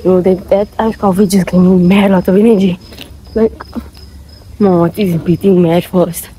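A woman's voice: on the low side, fairly even intonation, normal speed, warm tone, a little hiss or hum, rough recording. A young woman chatting casually to her vlog as a student, in a slangy, informal way with swearing. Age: young adult. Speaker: student vlogger.

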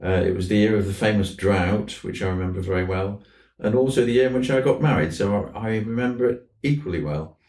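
A man in his seventies from southwest England, reminiscing in an unhurried, anecdotal way with old-fashioned turns of phrase. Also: lowish voice, darkish tone. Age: 70s. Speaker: guest, fly fishing instructor. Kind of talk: reminiscing interview conversation. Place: indoors in a tackle shop. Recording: roomy, slightly distant mic.

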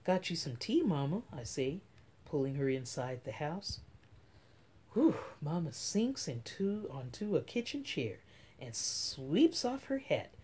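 A middle-aged woman reading a children's picture book aloud as story time host, with dramatic, drawn-out emphasis. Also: deep voice, very animated, darkish tone, husky. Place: small room at home.

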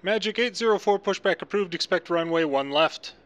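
Higher-pitched man doing air traffic control gameplay. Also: brisk, a bit bright, clean tone. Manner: clipped procedural phrasing with casual asides. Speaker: gaming channel host playing an air traffic controller. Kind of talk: controller phraseology and gameplay commentary.

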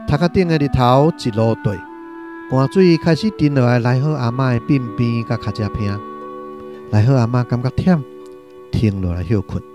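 A man's voice: mid-range voice, normal speed, music in the background. A man with a Taiwanese accent narrating a literary radio drama from a script in a dramatic style.

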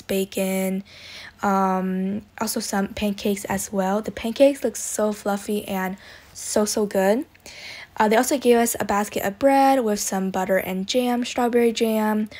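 A woman's voice: medium pitch, darkish tone. A young woman giving a casual food review voice-over, gushing with repeated superlatives. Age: young adult. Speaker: food vlogger.

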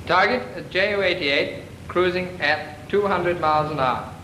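A brisk naval flying instructor gives crisp, clipped orders in a slightly high voice. The old film soundtrack is echoey and full of hiss. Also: male voice, pushed and bright. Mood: instructive. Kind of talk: 1940s training film acting.

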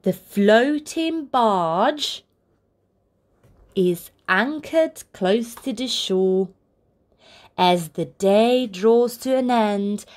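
A woman reading a picture book aloud for toddlers, with deliberate, expressive phrasing and encouraging asides. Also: a little high. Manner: deliberate, expressive phrasing aimed at small children. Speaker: children's storybook reader, channel host.

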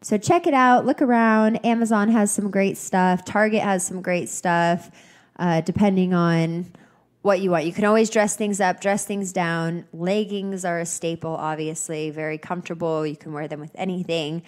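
A woman's voice: lowish voice, steady tone, moderate pace. A young woman hosting a solo podcast, chatty and upbeat, sounding a little nasal and congested from a cold. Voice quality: slightly nasal. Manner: chatty, upbeat, rambling with fillers. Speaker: podcast host. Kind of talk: casual solo podcast chat.

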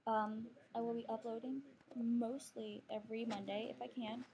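A woman's voice: a little high, level tone, normal speed, mellow. A teenage girl with a Texan accent, rambling and chatty with lots of fillers, talking to her webcam from a couch at home. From Texas. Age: teens. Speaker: vlogger. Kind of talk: casual webcam vlog. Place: living room couch indoors. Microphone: webcam on computer.

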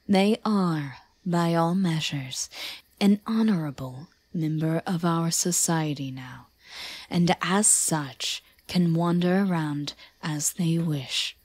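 Breathy, possessive elven queen acted by a woman. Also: low-pitched, a little mellow, no echo, exaggerated voice. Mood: proclaiming. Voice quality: breathy, often whispery. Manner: solemn, measured, intimate. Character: possessive, regal yandere elven queen, soothingly devoted. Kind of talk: slow roleplay audio drama.

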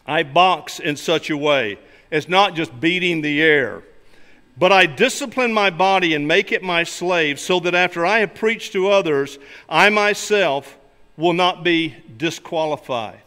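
An older man, a pastor delivering a sermon in an emphatic, deliberate way, speaking from a church pulpit. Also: fairly high pitch, pushed and bright. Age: older, 60s or 70s. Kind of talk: sermon with illustrations and scripture readings. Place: large church sanctuary, at a wooden pulpit. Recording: reverberant hall sound.

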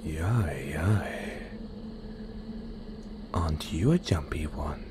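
A man playing a playful, menacing, possessive werewolf in an audio roleplay, teasing the listener in a breathy, half-whispered, predatory way. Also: a bass voice, expressive, very slow, background noise, exaggerated voice. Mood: predatory, amused.

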